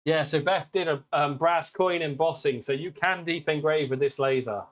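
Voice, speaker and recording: A British man with a naturally loud voice, hosting a casual live stream demo and chatting warmly with viewers. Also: a little high, normal speed. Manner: chatty, upbeat, greets viewers by name. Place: workshop with laser engravers.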